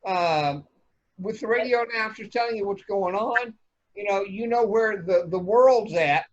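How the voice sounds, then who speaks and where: Halting man on a dull video call. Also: high voice. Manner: halting, repeats words. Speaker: table read participant giving feedback. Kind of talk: casual spoken feedback.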